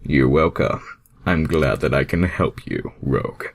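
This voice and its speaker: A man's voice: rumbling low, a little slow, vocal fry, husky, heavily acted. A man voicing an easygoing hedgehog hero in fan fiction, with an agreeable, slightly hesitant manner. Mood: pleased. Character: easygoing male hedgehog hero from a video game.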